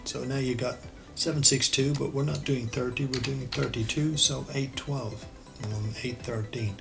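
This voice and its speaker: Irish guy doing a cad tutorial, gravelly voice, thinks out loud, fixes himself mid-sentence. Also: medium pitch, normal speed, over music. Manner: relaxed, thinks aloud while working, self-correcting. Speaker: architectural designer and CAD tutor. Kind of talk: screen-recorded software tutorial. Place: voice-over for screen recording.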